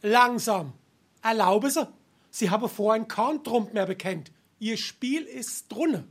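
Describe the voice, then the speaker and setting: A husky, grumbling Hessian family father. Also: high-pitched, lively intonation, slow, heavily acted. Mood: annoyed. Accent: Darmstadt Hessian. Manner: grumbling, exasperated. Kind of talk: dialect stage comedy acting.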